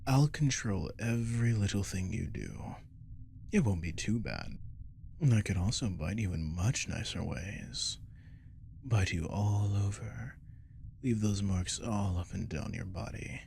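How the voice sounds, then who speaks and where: Casting: male actor as a manipulative captive werewolf for ASMR drama, deep and unhurried, coaxing and possessive, with menace under the tease. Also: gravelly, a little mellow, a little hiss or hum. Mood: seductive, possessive. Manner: slow, coaxing, teasing, often dropping to whisper. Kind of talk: ASMR audio roleplay acting. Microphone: close microphone.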